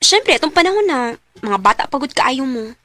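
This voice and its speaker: Taas ug hayag nga tingog sa batan-ong babaye. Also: female voice, high-pitched, sing-song, moderate pace, bright, forceful tone, dry recording, full character voice. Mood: curious, respectful. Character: young student daughter Rona, dutiful but emotional. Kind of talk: Cebuano radio drama acting.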